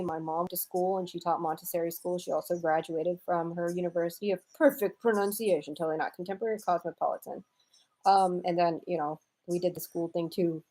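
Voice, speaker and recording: Mellow-voiced American woman chatting casually. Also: lowish voice, low-quality recording. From United States, raised in Houston, Texas. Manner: wry, irreverent, informal with slang. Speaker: live-stream host. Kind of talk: casual chat, reading and answering viewer comments.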